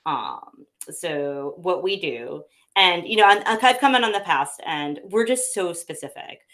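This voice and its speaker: A woman's voice: lowish voice, expressive, a little slow, fairly bright tone. A woman talking casually about how her company sources its meat, chatty, with many fillers and restarts, a bit sniffly. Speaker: pet food company representative. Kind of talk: casual conversational interview.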